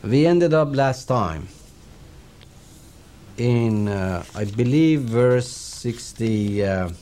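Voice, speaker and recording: A man with a Persian accent speaks in a soft, mellow, darker tone. He delivers a recorded religious lecture slowly and reverently, with a calm, measured pace. He sounds middle-aged. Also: medium pitch, a little hiss or hum. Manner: slow, measured, reverent recitation and explanation. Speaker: Quran teacher.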